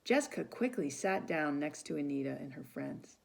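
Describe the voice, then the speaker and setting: A woman reading a children's story aloud with animated narration and small asides to young listeners. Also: deep voice, normal speed, creaky. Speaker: volunteer storybook reader.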